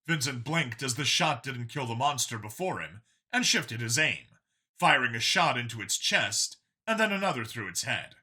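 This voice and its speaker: A man narrates fiction with a very bright, tense tone. His scripted reading is dramatic and lively in pitch, sitting in a middle register. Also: normal speed, slightly rough.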